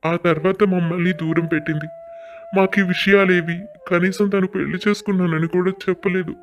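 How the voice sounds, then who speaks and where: A man's voice: sits high, steady tone, fairly quick. A man playing a sorrowful, earnest older father in a scripted audio story drama. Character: sorrowful older father revealing a long-hidden truth.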